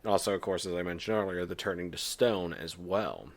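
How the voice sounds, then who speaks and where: A man's voice: sits low, fairly quick. A man co-hosting a podcast, talking in a laid-back way that trails off with fillers. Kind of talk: casual chat.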